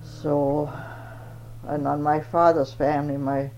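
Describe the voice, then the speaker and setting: Older lady, low mellow voice, slow halting talk, dull hissy old tape. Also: level tone. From Denmark. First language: Danish. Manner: halting, searches for words. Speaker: interviewee. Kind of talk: oral history interview.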